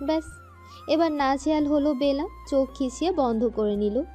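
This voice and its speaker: A woman's voice: high voice, normal speed, dark tone, soft background music. A woman narrating a scripted romantic story.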